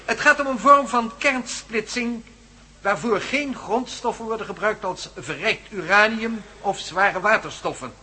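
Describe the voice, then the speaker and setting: A man's voice: high voice, measured pace, sharp tone, slightly rough, exaggerated voice. An actor playing an idealistic, determined male professor in a radio play, speaking emphatically and declaiming. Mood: formal oratory.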